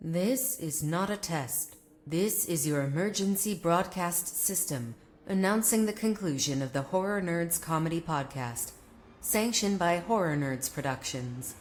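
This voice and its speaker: A woman playing a solemn emergency broadcast announcer, reading a formal, deadpan parody script in measured phrases. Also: on the low side, faint music behind. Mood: solemn, official.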